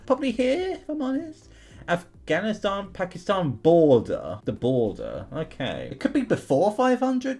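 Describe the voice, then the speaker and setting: Young male gamer, lively pitch swings, informal think-aloud commentary, music underneath. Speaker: gaming video host. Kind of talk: casual gameplay commentary. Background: music behind the voice.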